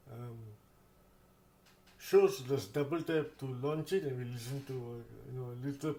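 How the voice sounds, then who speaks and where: A man hosting an informal spoken tech tutorial, with halting, repetitive phrasing. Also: medium pitch, mellow. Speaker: host of accessibility tech demonstration videos. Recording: roomy echo.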